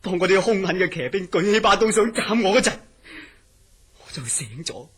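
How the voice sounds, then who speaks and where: A man's voice: sits high, big pitch swings, normal speed, fairly bright tone. A man playing a terrified, melodramatically pleading mental patient haunted by nightmares in a Cantonese horror radio drama. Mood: haunted dread. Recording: clearly noisy audio.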